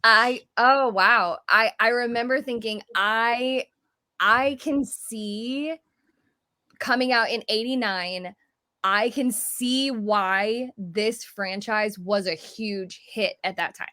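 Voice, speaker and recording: A woman's voice: a little high, slow, sharp tone, dry recording, clean studio sound. A woman giving her chatty, enthusiastic take as a panelist in a casual podcast discussion.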